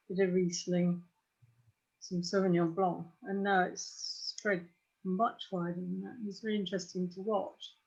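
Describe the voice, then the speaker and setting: An older woman talks casually but knowledgeably. Her voice is medium in pitch, with measured, hesitant phrasing. The video-call sound is a little muffled. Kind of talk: casual, knowledgeable chat on a group wine call. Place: home study, on a video call.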